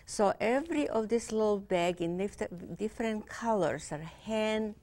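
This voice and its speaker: A woman's voice: mid-range voice, a little slow, a little mellow. A middle-aged woman hosting a cable fashion interview show, speaking in with many fillers and hesitations. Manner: hesitant, many fillers, non-native grammar.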